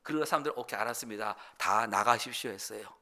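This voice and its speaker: A man preaching a Sunday sermon as pastor, earnest, shifting between loud pleading passages and quiet explanation. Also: on the low side, fast. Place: church sanctuary.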